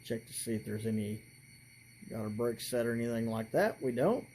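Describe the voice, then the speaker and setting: A man casually presenting a hands-on hobby demonstration, talking haltingly and thinking aloud as he works. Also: mid-range voice, a little mellow, slight background noise.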